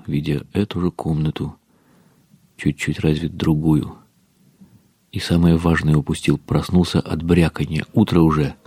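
An actor playing a weary, bitter older husband, narrating a radio drama in a brooding, ironic way with drops into whispers. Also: male voice, rumbling low, darkish tone, husky, full character voice. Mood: tired tenderness. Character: weary, bitter husband of an alcoholic, wryly despairing. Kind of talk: dramatized first-person literary narration for radio. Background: faint music.

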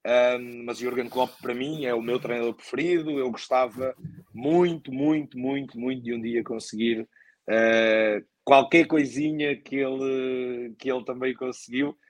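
Portuguese guy from portugal as podcast guest, medium pitch, thinking out loud, kinda halting, really dry sound. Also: steady tone, no room sound. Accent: European Portuguese. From born in Angola, moved to Portugal very young. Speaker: guest, football coach. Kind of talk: conversational interview answers.

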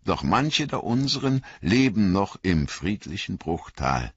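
A man's voice: low voice, sing-song, slightly rough, no echo, lo-fi sound, voice pushed up, heavily acted. Gildor, a man doing a stately elf lord. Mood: solemn. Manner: slow, solemn and stately. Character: ancient noble male elf lord, gracious.

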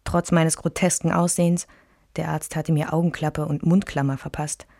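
Low-voiced woman narrator, intimate and reflective. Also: flat delivery, moderate pace, vocal fry, background noise. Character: woman recalling her married life, reflective and wounded. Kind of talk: first-person radio drama narration.

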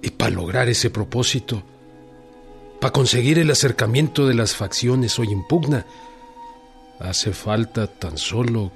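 An actor playing a brusque, brooding revolutionary general with a Mexican accent in a radio drama, curt and commanding. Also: male voice, lowish voice, vocal fry. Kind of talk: Spanish-language radio drama acting.

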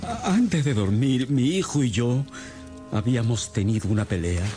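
Casting: male actor for agitated middle-aged gentleman father, radio drama, mellow mid-range voice, music underneath. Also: medium pitch, animated, normal speed, lightly acted. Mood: urgent, desperate. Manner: agitated, earnest. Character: distressed respectable older father, a Victorian gentleman. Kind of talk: Spanish-language radio drama acting.

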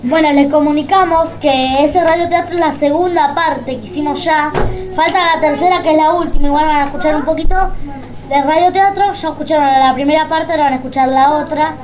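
A woman's voice: high voice, steady tone, fairly quick, crowd noise. A woman presenting a radio show with a casual introduction addressed to listeners.

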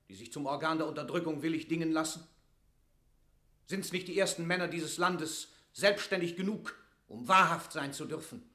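A stern, sharp-voiced English lord. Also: male voice, fairly high pitch, roomy sound. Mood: indignant. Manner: formal, declamatory, severe. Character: stern English lord, relentless accusing statesman. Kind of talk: classical German stage verse radio acting.

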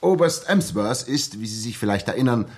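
A ex-soldier turned stockbroker in a 1960s radio play. His voice sits at a middling pitch with a husky grain. He is frank, respectful and earnest. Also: lively intonation, normal speed. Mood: earnest, fond.

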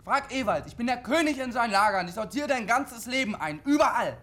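A man's voice: very high-pitched, expressive, a little fast, sharp tone, full character voice. An actor in his twenties playing a defiant, lonely CB radio dreamer in a radio drama, boastful and insistent. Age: 20s.

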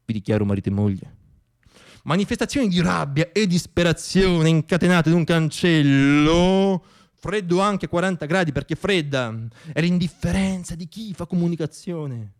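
Male storyteller for radio theatre monologue, fairly high register, dramatic delivery, deliberate pauses. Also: animated. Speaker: storyteller, author-performer.

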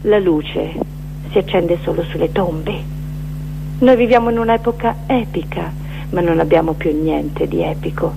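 A woman giving a dramatic recitation of a poetic manifesto, with emphatic, deliberate phrasing. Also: fairly low pitch, gravelly, noisy background. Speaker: performer reciting poetry.

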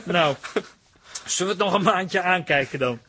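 A man's voice: high voice, moderate pace, background noise, close to an everyday voice. An actor playing a fussy, easily indignant man who gives in reluctantly, in a Dutch radio comedy drama. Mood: conceding. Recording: music behind the voice.

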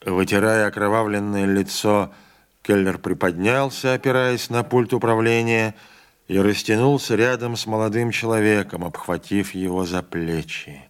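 A man narrating a literary audiobook in a measured, dramatic storytelling manner. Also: lowish voice, steady tone, clear, resonant tone.

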